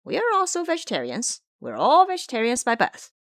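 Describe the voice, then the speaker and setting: A woman's voice: on the high side, huge pitch swings, a little fast, fairly bright tone, no echo, studio quality, pitched above the performer's own voice, heavily acted. A woman voicing a menacing, cocky male monkey disciple in an audio drama, brash and commanding. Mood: insistent.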